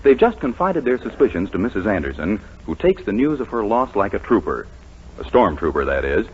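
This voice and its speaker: A man's voice: lowish voice, sing-song, fairly quick. A man announcing a scripted comic recap on a 1940s radio show. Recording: dull 1940s radio recording, faint hiss.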